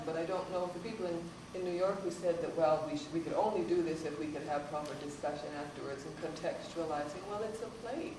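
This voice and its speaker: A panelist speaks in a measured way, thinking aloud and restarting phrases. The voice comes from far off in an echoey hall, with noise under it. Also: medium pitch. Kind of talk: panel discussion statement. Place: theatre hall.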